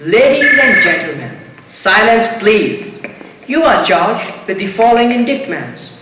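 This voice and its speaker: A man's voice: high voice, big pitch swings, a little slow. A man acting a stern, formal accusing voice that declaims charges in a stage mystery play. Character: stern, accusing disembodied voice reading out murder charges. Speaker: amateur stage actor in a school play. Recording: echoey hall, distant, noisy.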